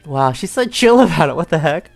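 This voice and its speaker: A woman's voice: deep voice, big pitch swings, fairly quick, vocal fry. A woman giving casual, amused, exclamatory reactions as she plays a video game. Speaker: let's play streamer. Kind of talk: casual gameplay reaction commentary. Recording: game music behind the voice.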